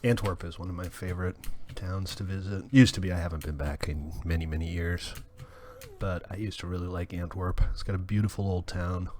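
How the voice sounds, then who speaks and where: A game streamer chats with his viewers in a low, relaxed voice. His talk wanders wryly, full of ums and asides, as he reads comments aloud. Soft keyboard clicks tick away faintly behind him. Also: a little hiss or hum. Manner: rambling and wry, with many fillers, reading chat aloud. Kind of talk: casual live-stream chat while playing.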